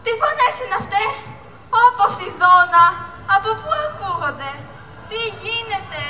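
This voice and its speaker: A woman's voice: extremely high pitch, sing-song, pushed and bright, full character voice. A teenage girl playing an anxious, loyal young sea turtle in an amateur school puppet show, with earnest theatrical delivery. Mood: frightened, determined. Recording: echoey hall, music and audience noise.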